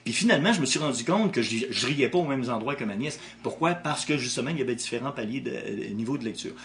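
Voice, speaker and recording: A man's voice: mid-range voice, animated, fast, a bit bright. A man with a Québécois French accent, an author answering interview questions in a thoughtful way, with frequent restarts. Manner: thoughtful, with many restarts and hesitations.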